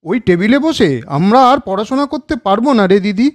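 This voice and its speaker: A woman's voice: mid-range voice, expressive, moderate pace, no room sound, natural voice. A woman voicing a young schoolboy, a little brother who grumbles and pleads in a children's cartoon. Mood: grumbling, coaxing.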